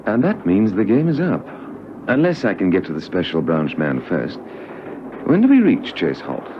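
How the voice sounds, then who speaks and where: Commanding thriller man, low voice, brisk, animated intonation, radio drama, muffled noisy recording. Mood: scheming, clipped.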